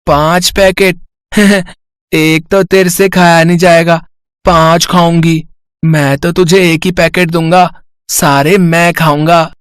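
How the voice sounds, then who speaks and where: Man acting young brother, very high pitch, childlike, eager, teasing, dry sound, children's tale. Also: level tone, takes it slowly, smooth, dry recording. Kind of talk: Hindi children's story voice acting.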